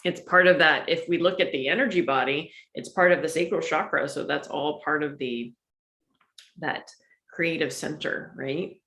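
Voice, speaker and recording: A woman's voice: low voice, moderate pace, sharp tone, reverberant. A woman co-hosting a casual spiritual chat, talking with enthusiasm. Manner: enthusiastic, talks of energy and chakras. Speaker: podcast co-host.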